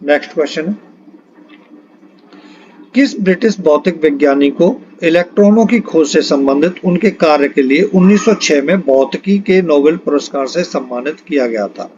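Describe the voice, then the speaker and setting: Male exam tutor, slightly high voice, reading quiz questions and answers, muffled budget-mic sound. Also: moderate pace, darkish tone. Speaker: exam-prep physics teacher. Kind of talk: lesson reading out multiple-choice questions and answers.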